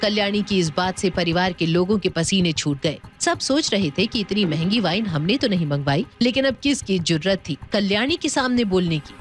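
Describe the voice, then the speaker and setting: A woman's voice: mid-range voice, lively intonation, moderate pace, hardly any pauses, soft background music. A woman narrating a scripted dramatic audio story.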